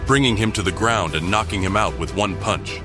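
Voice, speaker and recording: A man's voice: lowish voice, a little fast. A man delivering scripted, dramatic story narration for an audio drama. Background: music.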